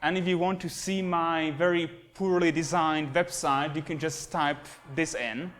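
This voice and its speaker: A young man from Slovakia, a native Slovak speaker, gives a relaxed, self-deprecating university lecture with many fillers, recorded by a camera at the back of a classroom. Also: on the high side, unhurried. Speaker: guest lecturer, astronomy postdoc. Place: classroom with projector and computers. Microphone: camera at the back of the room.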